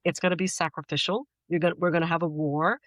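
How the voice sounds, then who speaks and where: American woman, podcast guest. Lowish voice, thoughtful and emphatic explainer, very dry recording. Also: steady tone, fast talker, fairly bright tone, no room sound. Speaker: guest poet and author. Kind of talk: conversational podcast interview.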